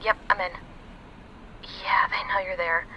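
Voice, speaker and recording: A man voicing a quick, casual young hacker guiding the team over comms. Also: sits high, flat delivery, vocal fry, muffled recording, slight background noise, voice pushed up. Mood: urgent.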